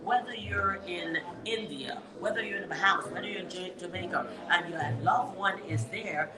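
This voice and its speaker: A woman's voice: on the low side, normal speed, a crowd in the background. A woman hosting an on-location interview, speaking in an upbeat, emphatic way. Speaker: event interviewer and podcast host. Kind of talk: on-location interview, switching between English and Creole. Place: fundraiser event venue. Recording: echoey room with loud music.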